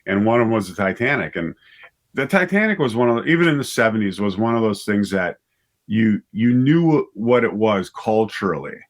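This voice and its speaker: A man in his fifties telling stories as an interview guest, conversational and halting, often repeating words and correcting himself. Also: lowish voice, very animated. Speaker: writer and director, interview guest.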